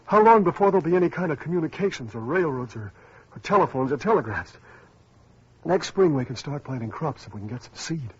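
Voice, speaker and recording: An actor playing an ailing, earnest man who speaks haltingly in an old-time radio drama. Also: a little high, lively intonation, breathy edge. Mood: earnest, feverish. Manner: halting, repeats words, earnest. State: ill, weak. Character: ailing, earnest male survivor, feverish idealist about teaching. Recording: muffled lo-fi old radio recording.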